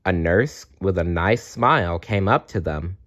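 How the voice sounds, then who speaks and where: A man's voice: sits low, expressive, measured pace, a bit bright. A man reading a children's storybook aloud as narrator, on a dull, lo-fi recording. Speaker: storybook reader.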